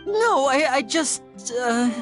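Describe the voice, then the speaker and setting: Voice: high-pitched, lively intonation, slow, a bit bright, faint music behind, full character voice. An actor playing a flustered young stage magician who stumbles over his words in a video game. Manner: hesitant, stumbling.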